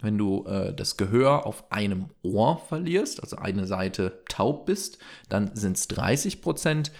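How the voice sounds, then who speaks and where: Man, podcast co-host, lowish gravelly voice, chatty, lots of fillers. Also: big pitch swings, slow, darkish tone. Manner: chatty, many fillers, jokey asides. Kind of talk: casual explanatory chat.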